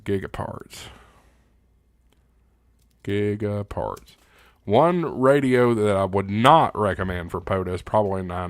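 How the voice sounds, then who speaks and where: Guy doing a casual livestream q&a, kinda deep voice, really dry close audio, folksy and plain spoken. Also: dry recording. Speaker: livestream host. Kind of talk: casual live Q&A chat, reading viewer comments.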